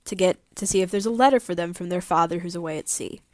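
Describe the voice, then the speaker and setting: A woman's voice: on the low side, fast, no room sound. A woman co-hosting a podcast in casual chat, with a blunt, informal way of talking. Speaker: podcast co-host.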